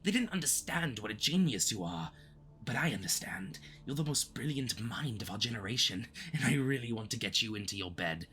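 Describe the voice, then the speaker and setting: A man's voice: mid-range voice, expressive, brisk, bright, forceful tone, faint music behind. A man playing a smug but easily flustered, obsessive supervillain in a flirty, theatrical roleplay addressed to the listener, with awkward stammering slips.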